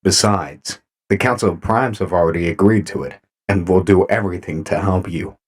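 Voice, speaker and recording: An actor playing a calm, courteous robot commander in an audio drama, measured in manner. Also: male voice, deep voice. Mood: earnest, friendly.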